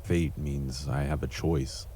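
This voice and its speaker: A man playing a world-weary, cynical cyberpunk antihero in a deadpan noir monologue for an adventure game. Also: rumbling low, takes it slowly, a little hiss or hum. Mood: brooding. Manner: deadpan, brooding.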